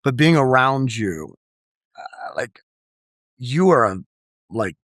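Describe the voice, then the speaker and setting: Young guy hosting a podcast, chatty and excited, says like a lot, dry mic. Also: medium pitch, slow, dry recording. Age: young adult. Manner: enthusiastic, chatty, filler-heavy. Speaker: podcast host. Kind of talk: casual chat.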